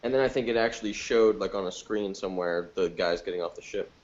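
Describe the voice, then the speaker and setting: A man's voice: deep voice, fast, dull audio. A man giving his view in a casual podcast review discussion.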